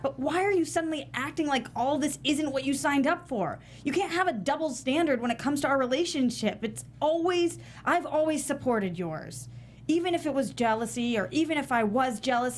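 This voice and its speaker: An actress reading a frank, caring wife in a script table read, wry and direct. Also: female voice, sits high, lively intonation, moderate pace, slight background noise. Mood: earnest.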